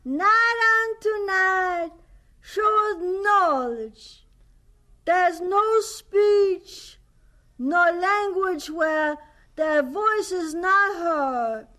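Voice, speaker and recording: A polite, earnest young boy in a radio drama. The voice is very high and bright, and it moves very slowly, with careful, solemn, halting phrasing. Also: heavily acted. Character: shy, earnest, polite ten-year-old boy.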